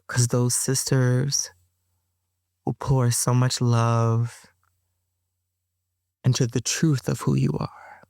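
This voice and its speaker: A woman's voice: rumbling low, little pitch movement, slow, gravelly, long pauses, a little mellow, dry recording, clean studio sound. A woman author and performer answering interview questions in a loose, rambling way, full of ums and restarts, with a playful streak. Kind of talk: casual interview talk.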